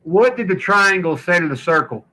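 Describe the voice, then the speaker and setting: A man's voice: sits high, expressive, normal speed, sharp tone. A man hosting a casual podcast, blunt and profane, who likes to tell corny jokes. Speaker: podcast host.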